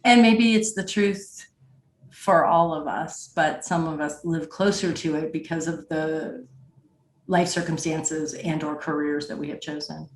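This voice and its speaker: A woman's voice: low-pitched, steady tone, unhurried. A woman hosting a podcast, interviewing in a conversational way, recorded with an echoey room sound.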